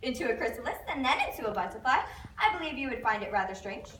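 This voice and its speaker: A woman's voice: fairly high pitch, expressive, fast, bright, forceful tone. A woman playing a bewildered, polite, easily frustrated young girl in an amateur readers theater performance. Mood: bewildered, earnest. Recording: echoey room, distant mic, faint noise.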